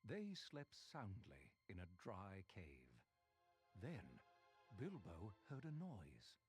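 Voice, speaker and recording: A man playing a calm storyteller who narrates a video game cutscene slowly and measuredly. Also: low voice, soft background music, full character voice. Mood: solemn. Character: calm grown male storyteller.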